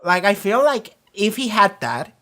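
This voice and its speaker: A reaction video host in a casual, rambling discussion, often talking loudly, swearing freely and filling pauses with lots of "like" and "um". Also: medium pitch, big pitch swings. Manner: swears freely, many fillers and restarts; often talks loud.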